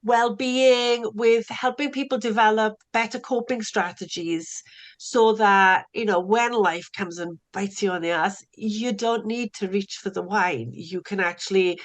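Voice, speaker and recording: A woman's voice: mid-range voice, steady tone, measured pace. A middle-aged woman from South Wales with a Welsh accent, a recovery coach chatting as a podcast guest who often repeats words as she starts a thought. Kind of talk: casual interview chat.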